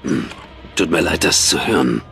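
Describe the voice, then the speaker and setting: A man's voice: rumbling low, lively intonation, moderate pace, creaky, a bit bright, full character voice. An actor playing a grave, wary male scientist defecting, in a dubbed video game. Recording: music over the voice.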